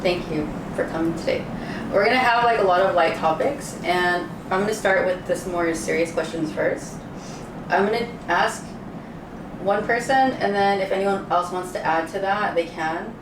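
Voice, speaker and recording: A woman hosts and moderates casually in a slightly low voice. Her voice is echoey, with clear noise in the background. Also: moderate pace, distant mic. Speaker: host and interviewer. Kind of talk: casual moderated group interview.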